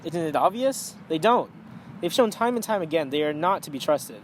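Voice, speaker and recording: A man's voice: on the high side, lively intonation, moderate pace, close to an everyday voice. A man playing an opinionated, alarmist author interviewed on a 1920s radio show. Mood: earnest. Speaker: talk show guest, author. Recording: noisy amateur recording.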